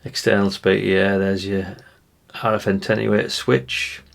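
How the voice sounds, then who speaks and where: A man's voice: lowish voice, little pitch movement, measured pace, gravelly. A middle-aged man with a British accent, giving a casual, unscripted show-and-tell in a rambling, chatty way, talking informally to his viewers. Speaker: vlogger showing vintage CB radios and catalogues.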